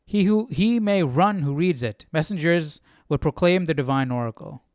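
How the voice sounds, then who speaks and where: A man's voice: a little high, expressive, moderate pace, darkish tone, no room sound, studio quality. A man hosting a casual Bible study, reading aloud and explaining in an informal way, stumbling and correcting himself. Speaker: Bible study livestream host.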